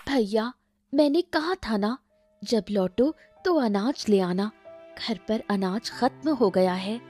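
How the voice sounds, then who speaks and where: Woman playing an earnest, worried young village sister, unhurried. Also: a little high, faint music behind. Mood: mildly reproachful. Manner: earnest, gently scolding.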